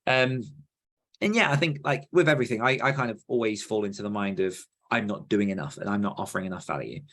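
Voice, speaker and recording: A man with a fairly low voice talks casually as a podcast host. He is earnest and reflective, often qualifying what he says as he works through his thoughts. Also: quick pace, fairly bright tone. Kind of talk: casual conversational podcast chat.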